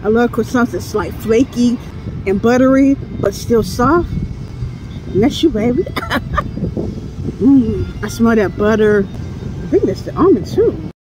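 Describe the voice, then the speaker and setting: A woman in her thirties chats about her food in a bright, lively voice that jumps up and down. She talks casually into a phone held at arm's length outdoors. Passing cars run under her voice. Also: on the high side, a little slow, pauses often. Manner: chatty, playful, laughs often while eating. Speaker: food reviewer. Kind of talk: casual food review vlog. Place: outdoors beside a building, near traffic. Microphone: phone camera at arm's length. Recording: outdoor phone recording with traffic noise.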